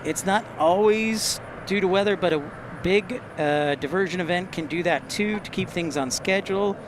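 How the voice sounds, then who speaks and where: Higher-voiced man over loud outdoor noise. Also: normal speed. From Texas, United States. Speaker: livestream host. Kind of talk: casual live commentary, reading and answering chat. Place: outdoors near an airport.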